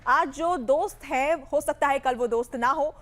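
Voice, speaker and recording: A woman presents the news as an anchor. Her voice sits high, and her delivery is scripted and presentational. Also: moderate pace, a bit bright.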